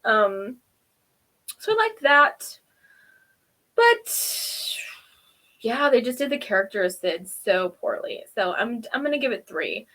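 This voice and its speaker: High-pitched, chatty woman reviewer. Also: sing-song, takes it slowly, long pauses, fairly bright tone. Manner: giggly, rambling, informal. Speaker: co-host of a TV episode review. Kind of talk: casual chatty review between friends.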